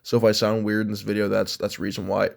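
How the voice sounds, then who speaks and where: A man's voice: fairly low pitch, flat delivery, fast, gravelly. A young man hosting an MMA reaction show, congested from allergies, talking in a rambling, opinionated way. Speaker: MMA YouTube host. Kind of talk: casual fight reaction and predictions.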